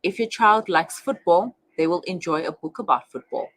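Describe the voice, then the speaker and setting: A woman hosting a radio talk show, speaking in a somewhat hesitant, halting way. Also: on the low side, animated, fairly bright tone, no echo, rough recording. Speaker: radio host.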